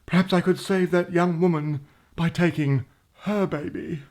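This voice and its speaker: A woman playing a remorseful mother in a melodramatic radio soap, with a creaky voice. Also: lowish voice, fairly even intonation, normal speed, darkish tone, natural voice. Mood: solemn confession.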